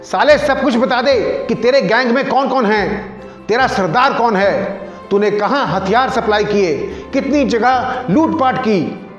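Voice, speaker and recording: A man plays an aggressive police constable in a short story. The voice is pushed high, bullying and accusing. The recording is roomy and dull. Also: lively intonation, unhurried, soft background music. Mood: threatening. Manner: threatening, accusing. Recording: roomy echo, dull lo-fi sound.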